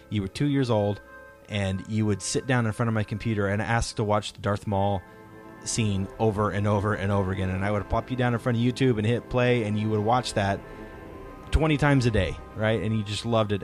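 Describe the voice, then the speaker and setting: Low-voiced man chatting over music. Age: 30s. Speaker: podcast host, father talking with his children. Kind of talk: casual chat, personal storytelling.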